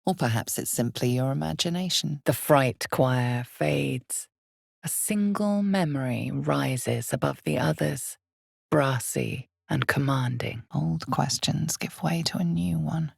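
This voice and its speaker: An ominous, knowing female narrator for a dark fantasy video game. She speaks slowly and dramatically in a low register, often hushed, with heavy vocal fry. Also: no room sound, studio quality, full character voice. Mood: knowing, grave. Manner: measured, dramatic, often hushed and breathy toward whispering.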